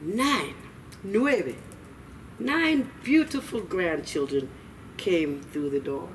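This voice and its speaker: Brief: female children's storyteller, middle-aged, lively pitch swings, recorded at a distance in a noisy room. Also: extremely slow. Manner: expressive, dramatic, with asides to listeners. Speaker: library storytime reader. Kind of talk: animated read-aloud for young children. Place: small children's room indoors.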